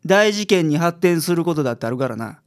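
Blunt Kansai detective boss. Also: male voice, fairly high pitch, animated, a little fast. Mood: blunt, gruff. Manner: blunt, worldly-wise. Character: gruff, pragmatic male private detective boss. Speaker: voice actor in a community radio drama. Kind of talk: radio drama acting.

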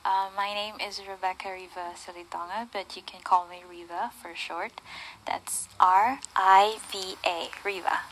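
A woman from the Philippines with a Filipino accent, an English teacher and guest introducing herself in a relaxed, friendly way. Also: medium pitch, unhurried, a little hiss or hum, rough recording. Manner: friendly and relaxed, with light fillers. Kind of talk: casual self-introduction.

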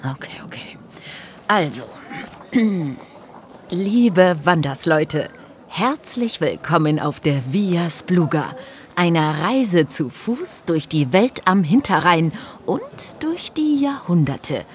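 An actress playing a chatty, scatterbrained narrator in an audio play, musing and drifting into playful asides. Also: female voice, lowish voice, animated, slow, a little hiss or hum. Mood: tentative, playful. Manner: musing, hesitant, wandering off into asides. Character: chatty, scatterbrained woman narrator, playfully straying from her script. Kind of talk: scripted audio play for a hiking trail, conversational.

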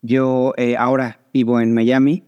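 A man's voice: medium pitch, level tone, unhurried, mellow, clear, resonant tone, muffled recording. A middle-aged man with a Mexican accent, giving conversational interview answers as a guest and mixing in English business terms. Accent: Mexican Spanish. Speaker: podcast guest, startup founder.

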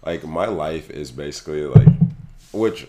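A man hosting a casual podcast chat, hoarse from losing his voice, laughing often and talking loosely. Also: a bass voice, lively intonation, unhurried, background noise. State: hoarse, lost voice. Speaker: podcast host.

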